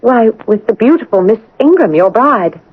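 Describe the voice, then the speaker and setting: An actress playing a prim, earnest young governess in an old-time radio drama, formal, restrained and hesitant, with guarded pride. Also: female voice, on the high side, big pitch swings, moderate pace, slightly rough, dry recording. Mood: pleading, wounded. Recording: dull, band-limited old radio broadcast.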